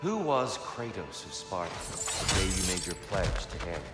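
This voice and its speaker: An actor playing a calm, probing mentor in a mythic video game, with a measured, storytelling manner. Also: male voice, low voice. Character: calm, probing male mentor from Norse myth. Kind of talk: video game character acting. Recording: echoey space, loud music. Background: loud game music.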